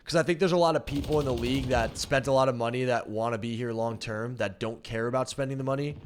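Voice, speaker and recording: A young man talking loosely as he streams, his voice at an ordinary middle pitch. He fills his sentences with "like" and swears without a second thought. A faint hiss and some clicking sit behind him. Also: steady tone, fast. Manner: loose and frank, heavy "like" fillers, swears. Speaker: streamer and pro team coach. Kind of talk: casual chat while gaming on stream.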